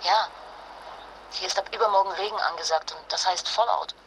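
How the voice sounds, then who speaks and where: A woman's voice: on the low side, moderate pace, fairly bright tone, slight background noise, natural voice, low-quality recording. An actress playing a determined, insistent wife and mother in a naturalistic radio drama, blunt and urgent. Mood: matter-of-fact. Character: determined, jealous wife and mother fleeing nuclear fallout.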